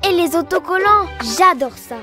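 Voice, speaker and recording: A woman voicing an excitable, stubborn and dramatic little girl baby doll in a children's cartoon, speaking in an emphatic, childlike way. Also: very high voice, normal speed. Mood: playfully proud. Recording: music behind the voice.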